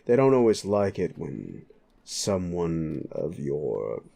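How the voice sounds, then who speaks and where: A man playing a sleazy, bullying werewolf boss in an audio roleplay, sardonic and taunting, with a gruff flirtatious streak. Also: deep voice, wildly swooping pitch, slow, mellow, full character voice. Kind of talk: scripted audio roleplay addressed to the listener.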